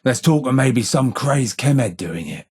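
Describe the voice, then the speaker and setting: An actor playing a blind, weary old London gang informer in a video game, with a London accent, a husky gravelly voice and measured, wry street talk. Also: male voice, medium pitch, lively intonation. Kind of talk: video game character dialogue.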